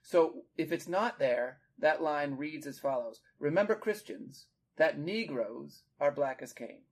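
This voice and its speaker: A man's voice: fairly high pitch, lively intonation, unhurried. A man hosting a literary discussion, explaining like a teacher in an articulate way with emphasis on key words. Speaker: podcast host and literature teacher.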